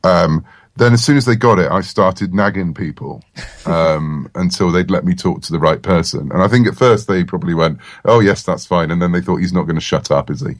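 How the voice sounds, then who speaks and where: Low-voiced man, chatty and wry. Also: brisk, dry recording, clean studio sound. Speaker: interview guest, comics and audio writer. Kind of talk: casual interview chat.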